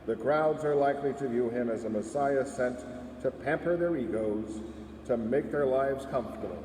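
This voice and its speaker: A man who serves as a church's senior warden, reading a sermon in a measured, emphatic way with rhetorical questions. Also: on the high side, warm tone, a little hiss or hum. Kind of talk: read sermon. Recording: echoey church from far off.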